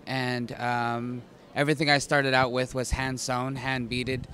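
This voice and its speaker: A man from Arizona introducing his evening wear line at a press junket, halting with many fillers. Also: medium pitch, little pitch movement, pushed and bright, slight background noise. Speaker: fashion designer. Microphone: handheld mic.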